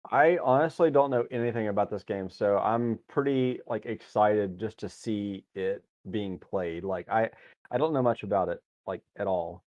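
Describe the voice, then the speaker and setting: A man guesting on a podcast over an internet call, relaxed and chuckling, leaning on "like" as he talks. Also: lowish voice, moderate pace, no echo. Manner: laid-back and chuckly, with lots of "like". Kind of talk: casual chat. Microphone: remote call with headphones. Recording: dull, dry internet call audio.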